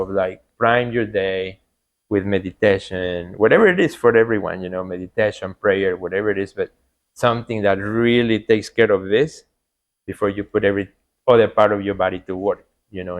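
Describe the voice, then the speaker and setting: A man's voice: on the low side, highs cut off. A man from Mexico who speaks English with a Mexican Spanish accent, his first language being Spanish, talking earnestly in a casual podcast interview and often repeating words and adding "you know". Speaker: podcast guest, artist coach.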